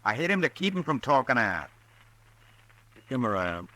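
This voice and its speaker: A menacing outlaw. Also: male voice, mid-range voice, sing-song, normal speed, bright, forceful tone, no room sound, low-quality recording. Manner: threatening, gruff. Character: menacing outlaw horse thief, middle-aged man. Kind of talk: 1950s radio acting.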